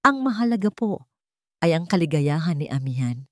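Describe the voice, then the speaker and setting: A woman's voice: low-pitched, sing-song, moderate pace, no echo. Romance leading man, voiced by a female narrator: young husband, composed, polite, businesslike, protective, in a dry recording. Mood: calm, respectful.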